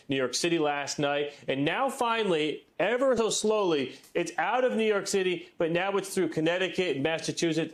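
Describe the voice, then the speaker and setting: A male weather forecaster on a morning news broadcast, talking through the weekend outlook in a loose, chatty way. His voice sits a little high for a man, and he slips in casual asides between the map points. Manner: conversational, easygoing with light asides. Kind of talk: live TV weather segment.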